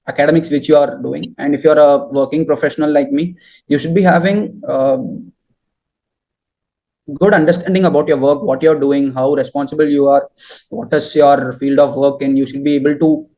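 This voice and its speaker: A young man answering interview questions and giving advice in halting, deliberate phrases. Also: fairly high pitch, steady tone, dark tone, poor audio. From southern India.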